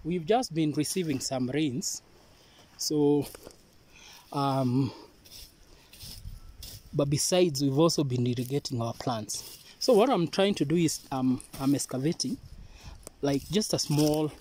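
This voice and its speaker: A Kenyan man, a native Swahili speaker, talks a listener through a farm task outdoors, pausing often and drifting from the mic as he works with his hands. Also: a little high, darkish tone, slight background noise. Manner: unhurried, hesitant with many pauses. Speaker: avocado farmer. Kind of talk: hands-on farming demonstration.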